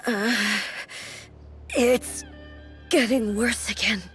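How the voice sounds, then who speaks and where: A regal game ruler with a slightly high, unhurried voice. She is formal, solemn and resolute despite weakness. Soft music plays behind her. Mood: strained, weak. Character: regal, stoic female ruler, weakened but resolute. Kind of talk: video game voice acting.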